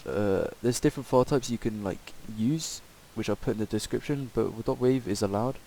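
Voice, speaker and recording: A man with a lowish, very soft-edged, dark voice walks through software in a casual tutorial. He talks off the cuff, hesitant and loosely worded. The home microphone recording carries a faint hiss. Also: normal speed, creaky, poor audio. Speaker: tutorial presenter.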